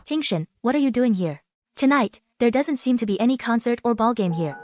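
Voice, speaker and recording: A woman's voice: fairly high pitch, expressive, brisk, over music, natural voice. A woman playing a sincere, sentimental young heroine in a romantic audio drama. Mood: puzzled. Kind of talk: dubbed romantic radio drama acting.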